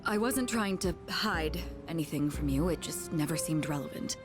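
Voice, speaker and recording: A composed eighteen-year-old from an anime game. She speaks low and formally, mature and reserved, over faint music. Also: animated, normal speed.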